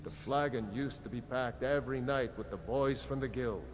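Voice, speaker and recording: An actor playing a shady, weary tavern keeper who brokers underworld deals with sly hesitation, in video game dialogue. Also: male voice, medium pitch, moderate pace, natural voice. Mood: wistful, confiding. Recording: reverberant game audio with background noise.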